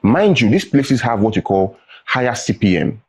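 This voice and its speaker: A man's voice: mid-range voice, very animated, moderate pace, a little mellow, slightly rough. A young adult man with a Nigerian accent, a guest in a studio interview, explaining earnestly and often asking "right?" or "you know". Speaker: tech training founder, guest.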